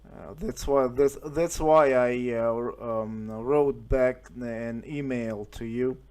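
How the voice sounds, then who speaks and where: Slow, hesitant Russian-accented man, mellow voice. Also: medium pitch, clear, resonant tone. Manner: hesitant, halting, searching for words. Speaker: amateur radio operator. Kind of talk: casual radio contact chat. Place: at home, indoors.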